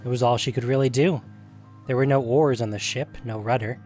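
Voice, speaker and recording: A man's voice: mid-range voice, fairly even intonation, brisk, a little mellow. A man narrating a scripted fantasy story as the reader of a story podcast. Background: music.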